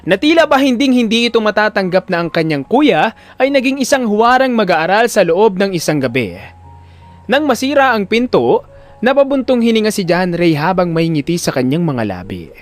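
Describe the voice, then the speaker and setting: Male narrator for audio drama: high voice, very animated range, emphatic storytelling, music underneath. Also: normal speed.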